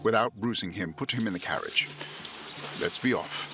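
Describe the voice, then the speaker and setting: An actor playing a haughty, controlling lord with formal, measured menace in an audio drama. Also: male voice, on the low side, over music, lightly acted. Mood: cold, controlled. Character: haughty, controlling villainous lord, coldly polite.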